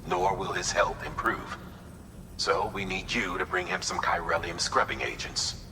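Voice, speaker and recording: An actor voicing a grave, commanding operations officer in a video game, giving measured, serious instructions. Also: male voice, deep voice, fairly even intonation, background noise. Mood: businesslike briefing. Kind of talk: dramatic video game mission briefing.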